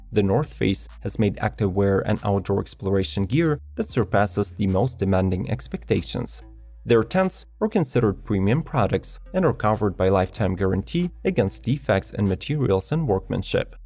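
A man reading a scripted product review as a voice-over, in an even, list-like manner. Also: lowish voice. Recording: voice-over with faint background music.

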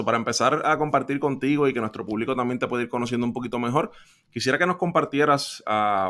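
A man's voice: mid-range voice, fairly bright tone. A young man hosting an interview with upbeat, friendly, slang-laced talk. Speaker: host. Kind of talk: casual interview. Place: home studio with shelves.

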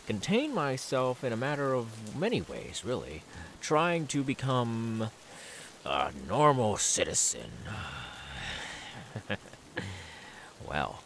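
A man's voice: medium pitch, wildly swooping pitch, slow pace, long pauses, a bit bright, slight background noise, exaggerated voice. A man voicing a menacing, taunting killer simmering with anger in an ASMR audio roleplay, drawing out repeated words. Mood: brooding menace.

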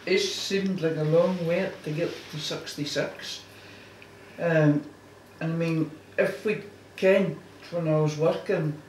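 A woman's voice: sits low, a little mellow, slight background noise. A woman in her sixties with a Shetland accent chatting plainly across a kitchen table. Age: 60s. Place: home kitchen indoors. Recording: echoey kitchen from far off.

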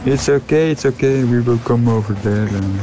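A man's voice: mid-range voice, unhurried, background noise. A man from Belgium chatting casually over voice chat, easygoing and chuckling often. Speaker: fellow player on voice chat.

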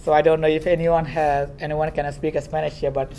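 A man's voice: fairly high pitch, little pitch movement, a little fast, mellow, low-quality recording. Young man, from Myanmar, Rohingya native speaker, guest speaker, earnest, classroom, faint background hiss. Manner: earnest, storytelling with hand gestures. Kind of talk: classroom talk. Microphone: far camera.

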